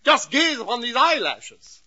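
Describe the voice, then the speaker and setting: An actor playing a vain, theatrical ham who declaims in florid, grandiose phrases in a 1940s radio comedy. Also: male voice, very high voice, big pitch swings, a little slow, sharp tone, heavily acted. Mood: indignant, boastful. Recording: dull lo-fi vintage radio recording.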